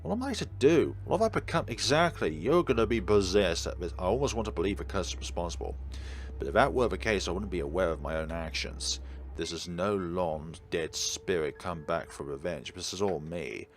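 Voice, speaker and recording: A man's voice: low-pitched, lively intonation, fairly quick. A man reading a visual novel aloud as a let's play host, slipping in wry asides, with music behind him.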